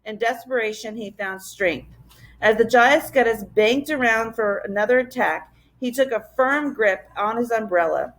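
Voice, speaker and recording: A woman reading a children's adventure story aloud as a teacher would, in expressive narration. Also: fairly high pitch, moderate pace, low-quality recording.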